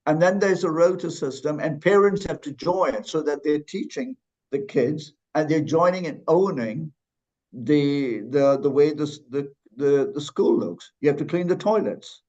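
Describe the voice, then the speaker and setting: A man's voice: on the high side, unhurried, darkish tone, highs cut off. A man from Malaysia speaking as a podcast guest, thoughtful, with frequent restarts and fillers. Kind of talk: conversational interview.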